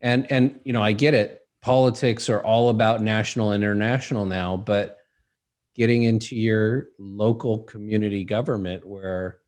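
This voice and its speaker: A man hosting a casual interview, speaking in a measured, reflective way and trailing off at the ends of phrases. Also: lowish voice, steady tone, a little mellow. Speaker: host.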